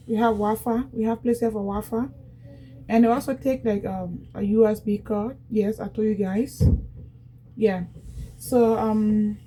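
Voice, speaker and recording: A woman's voice: fairly high pitch, warm tone, slight background noise, low-quality recording. A woman from Liberia with a Liberian accent, chatting casually to viewers in a product unboxing vlog at home, repeating herself as she goes. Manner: chatty, repetitive, talks straight to viewers. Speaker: vlogger.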